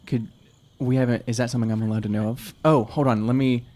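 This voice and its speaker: A man improvising casually as a tabletop game player, in a halting, hesitant manner. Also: mid-range voice, steady tone, warm tone. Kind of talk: casual improvised role-play.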